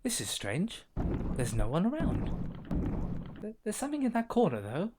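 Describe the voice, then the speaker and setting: Woman as a very animated children's story guide. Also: mid-range voice, moderate pace, very noisy. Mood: curious. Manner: expressive, addressing the listener directly. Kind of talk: scripted children's animation narration.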